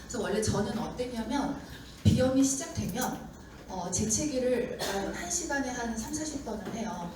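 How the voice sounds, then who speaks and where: A woman giving a seminar talk to an audience, slightly hoarse from a sore throat, chatty and acting out the doubts of skeptics. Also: on the high side, expressive, normal speed. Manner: chatty, acts out doubters' lines. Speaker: seminar speaker. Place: lecture room with audience. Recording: echoey hall mic from far off with noise.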